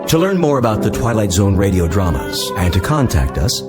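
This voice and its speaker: An American male announcer with a very deep voice reads scripted radio credits. He is formal and measured, and music plays clearly behind him. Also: very animated.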